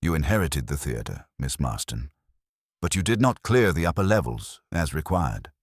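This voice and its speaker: A man voicing a commanding male detective, formal, measured and deliberate, laying out a case in a dramatised detective audiobook. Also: a bass voice, sing-song, breathy edge, no echo, clean studio sound, exaggerated voice. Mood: probing, grave.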